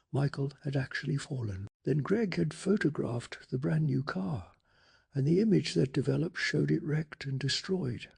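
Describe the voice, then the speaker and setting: Soft-spoken, husky man narrating bedtime stories. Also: a little high. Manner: calm, measured, gentle delivery. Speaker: narrator of a bedtime story channel.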